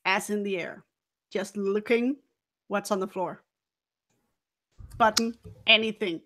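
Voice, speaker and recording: Medium-pitched woman roleplaying in a game. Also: steady tone, slow pace, a bit bright. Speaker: player in a tabletop role-playing game. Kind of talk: improvised roleplay and narration.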